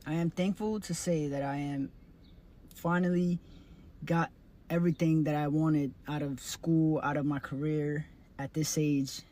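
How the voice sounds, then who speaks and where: A woman's voice: deep voice, fairly even intonation, a little slow, mellow. A woman in her twenties giving an earnest, plain-spoken personal testimony. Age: 20s. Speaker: vlogger, licensed funeral director.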